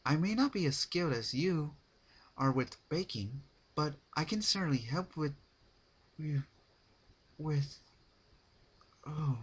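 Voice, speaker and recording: Man putting on a high-voiced, prim lady unicorn. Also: animated, takes it slowly, pauses often, slightly rough, exaggerated voice. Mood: gracious. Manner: genteel, hesitant, affected. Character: refined, ladylike female unicorn pony, polite and prim. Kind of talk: dramatic reading of cartoon fan fiction.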